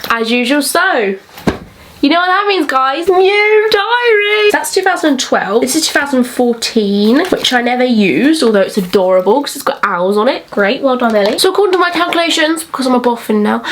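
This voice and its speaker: A woman's voice: sits high, slight background noise. A young woman with an English accent chatting informally in a vlog and reading aloud from old diaries in a self-mocking way. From England. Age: young adult. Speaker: vlogger.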